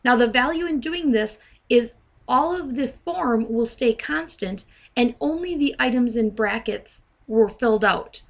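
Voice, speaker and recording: A woman with a fairly high voice works as a software trainer, narrating a demonstration. She speaks in a deliberate, instructional way and pronounces each dictated command distinctly. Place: voice-over to a screen recording.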